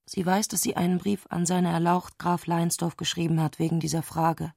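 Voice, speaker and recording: Eine Frau spricht in einem literarischen Hörspiel die introspektive Erzählerin, die mit bedachten Pausen die Gedanken einer aufgewühlten Frau schildert. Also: female voice, lowish voice, little pitch movement, vocal fry, darkish tone, dry recording, clean studio sound. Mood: introspective, hushed. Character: intimate, introspective female narrator of a troubled woman's thoughts. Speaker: narrator in a literary radio play. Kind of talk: literary radio drama narration.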